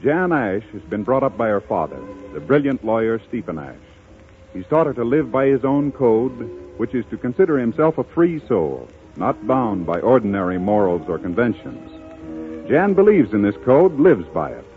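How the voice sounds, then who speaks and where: Male American announcer-narrator, scripted delivery, lively intonation, muffled 1930s radio sound with music. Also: mid-range voice, moderate pace.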